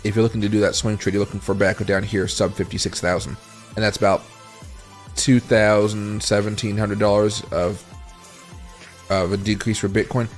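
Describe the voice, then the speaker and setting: Male livestream host, raspy and tired, somewhat low-pitched, casual and meandering chart talk. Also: flat delivery, normal speed, faint music behind. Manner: rambling, thinks aloud while scrolling charts and reading chat.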